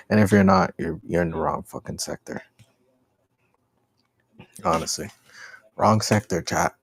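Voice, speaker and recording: A man's voice: deep voice, creaky, slightly rough, dry recording. A man hosting a livestream, giving casual, slangy, repetitive commentary and talking to his chat. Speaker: livestream host.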